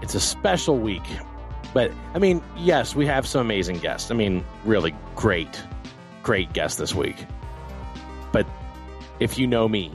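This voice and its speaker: A man's voice: fairly low pitch, lively intonation, unhurried, pauses often, soft background music. An American man hosting a show with casual sports banter, talking emphatically and repeating his phrases for effect. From Louisiana and Texas, United States. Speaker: talk show host.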